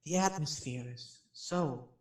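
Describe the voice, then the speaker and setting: A man's voice: a little high, slow pace. A man playing a chatty, nervous radio personality who addresses his listeners in a folksy way in a radio play. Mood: jumpy, nervous. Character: jumpy, chatty radio showman touring a haunted house. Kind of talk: radio drama acting. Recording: roomy, slightly distant microphone.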